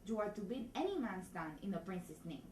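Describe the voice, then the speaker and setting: A woman's voice: mid-range voice, very animated, moderate pace, darkish tone, a little hiss or hum, lightly acted. Casting: woman as pompous, self-important stage constable with a comic put-on accent, declamatory, recorded at a distance in an echoey room. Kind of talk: Shakespearean comedy, theatre audition reading.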